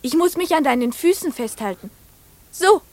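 A woman's voice: sits high, lively intonation, normal speed, darkish tone, dry recording. A woman playing a dreamy little girl in a radio play, coaxing and wistful.

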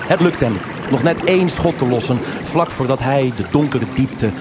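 A man's voice: medium pitch, moderate pace, heavy background noise. A man narrating a radio noir crime drama in dramatic, clipped short sentences. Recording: live radio play, loud water effects. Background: loud gushing water sound effects.

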